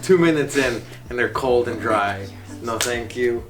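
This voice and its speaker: A man's voice: mid-range voice, a little slow. A man in his twenties, a band member, chatting in a laid-back, slangy way. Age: 20s. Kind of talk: casual chat. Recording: distant mic with background music.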